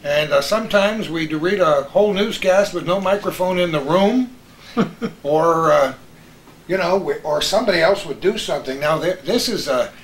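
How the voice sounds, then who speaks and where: A man's voice: fairly high pitch, lively intonation, normal speed. An older man hosting a casual reminiscing chat, telling anecdotes in a chatty way. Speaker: host. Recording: echoey room with background hiss.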